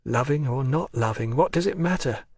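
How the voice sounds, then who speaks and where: A man reading the part of a resigned, emotional young woman prisoner in an audiobook, his voice a touch raised and soft. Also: mid-range voice, animated, moderate pace, slightly rough, no echo, studio quality. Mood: earnest.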